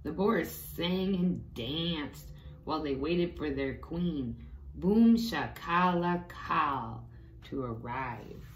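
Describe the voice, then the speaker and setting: A woman's voice: low-pitched, expressive, slow pace. A woman reading a storybook aloud to young children, expressively, with little explanatory asides. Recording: echoey room with faint background noise.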